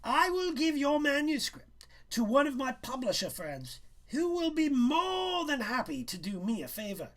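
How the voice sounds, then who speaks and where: A man's voice: very high voice, very animated, a little slow, hardly any pauses, fairly bright tone, voice pushed up, full character voice. A man voicing a stern, vain male schoolteacher in a storybook reading, speaking in a pompous, condescending and emphatic way.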